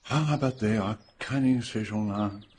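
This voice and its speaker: An actor playing a shrewd, courteous detective in a radio drama, measured and precise, with a French-speaking accent. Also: male voice, fairly low pitch, darkish tone, slight background noise, close to an everyday voice. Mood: measured, probing.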